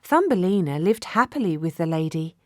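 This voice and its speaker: A woman narrating a children's fairy tale from a script, measured and expressive. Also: fairly low pitch, no room sound, clean studio sound. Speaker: storyteller.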